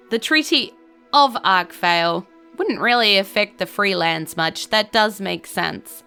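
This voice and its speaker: A woman's voice: medium pitch, a bit bright. A woman narrating a scripted fantasy audio drama as a storyteller.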